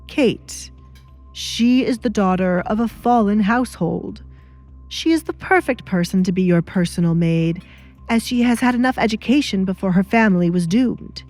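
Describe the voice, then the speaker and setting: A woman's voice: medium pitch, expressive, moderate pace, a little mellow, soft background music, natural voice. A woman voicing a stern middle-aged baron in an audio drama, curt and authoritative. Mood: firm.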